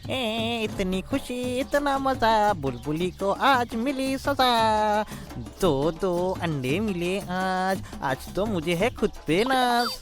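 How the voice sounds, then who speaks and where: A woman voicing a sly, greedy male cartoon fox who gloats and cackles theatrically in a drawn-out way. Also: mid-range voice, expressive, soft background music. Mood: gloating. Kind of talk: cartoon moral story voice acting.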